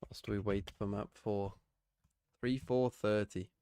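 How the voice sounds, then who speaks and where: A man's voice: lowish voice, fairly even intonation, slow pace, dark tone, clear, resonant tone, no echo, clean studio sound. A man streaming live, chatting casually and muttering to himself as he plays. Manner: muttering to himself while playing.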